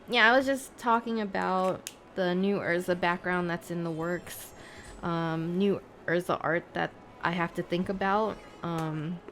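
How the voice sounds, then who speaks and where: A woman's voice: on the low side, fairly bright tone. A woman livestreamer chatting casually with her viewers, thinking aloud in a hesitant, rambling way. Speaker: live streamer.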